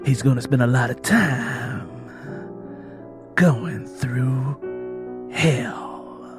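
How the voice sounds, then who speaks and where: A man voicing an eerie gravedigger in an audio drama, speaking slowly and deliberately with ominous calm. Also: mid-range voice, music in the background. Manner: slow, deliberate, menacingly calm. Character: eerie one-armed gravedigger delivering slow ominous prophecy.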